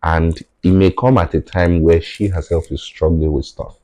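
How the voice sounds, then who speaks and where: A man's voice: low-pitched, fairly even intonation, normal speed, darkish tone. A man in his thirties with a Nigerian accent, a native Yoruba speaker, earnestly explaining his views as a podcast guest and often checking in with "right?". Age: 30s. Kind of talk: reflective conversational podcast talk.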